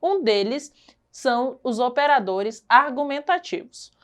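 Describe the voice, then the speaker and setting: Brazilian teacher lady, high pitched, goes over stuff twice and keeps asking ok?. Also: animated, measured pace. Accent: Brazilian Portuguese. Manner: explanatory, repeats key points and checks in with "ok?". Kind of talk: recorded classroom lesson.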